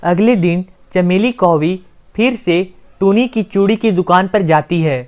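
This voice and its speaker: Lower-pitched woman narrating a children's tale. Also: unhurried, darkish tone. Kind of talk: scripted children's story narration.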